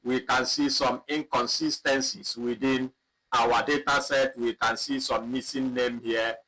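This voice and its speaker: Slow-paced man teaching a software class. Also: medium pitch, flat delivery, slightly rough, low-quality recording. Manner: slow, repetitive, thinking aloud while clicking. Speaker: instructor. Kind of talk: live online software tutorial.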